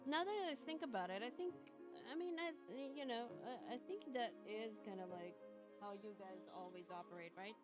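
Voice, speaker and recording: A woman hosts a casual live stream with an average-pitched voice. She talks in loose, halting sentences that wander as she goes. Music plays behind her. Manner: rambling, halting. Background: background music.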